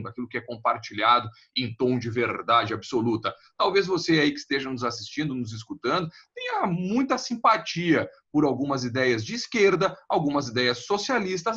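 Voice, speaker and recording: A man's voice: medium pitch, sharp tone, breathy edge, muffled recording. A Brazilian man hosting a discussion show, talking fluently and addressing the viewers directly. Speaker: host.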